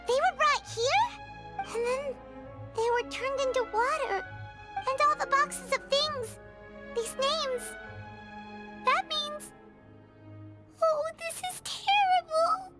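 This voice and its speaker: Squeaky tiny fairy girl, very high. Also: takes it slowly, long pauses. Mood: puzzled, alarmed. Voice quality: squeaky, childlike. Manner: third-person self-reference, exclamatory, expressive. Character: tiny floating fairy companion girl, excitable, childlike, easily frightened. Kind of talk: animated video game voice acting. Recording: music behind the voice.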